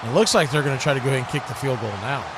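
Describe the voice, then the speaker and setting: A man's voice: medium pitch, very fast, background noise. A man hosting a live stream, calling football play by play with casual, chatty asides between plays. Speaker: play-by-play host of a football watch party.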